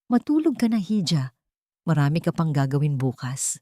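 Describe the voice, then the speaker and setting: A woman's voice: deep voice, big pitch swings, moderate pace, warm tone, dry recording, clean studio sound. A woman voicing a cruel, rich old mistress in an audiobook drama, speaking in a cold, condescending, threatening manner. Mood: veiled menace.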